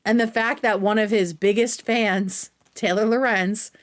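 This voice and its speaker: A woman's voice: mid-range voice, steady tone, unhurried. A woman hosting a livestream with casual, opinionated commentary, speaking bluntly and swearing freely. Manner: blunt, profane. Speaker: streamer, host.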